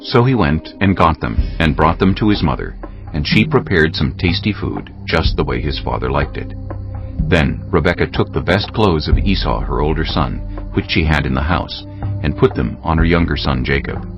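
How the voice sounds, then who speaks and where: A man's voice: rumbling low, lively intonation, normal speed, creaky. A man narrating dramatized scripture as a formal storyteller. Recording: dull muffled audio with music.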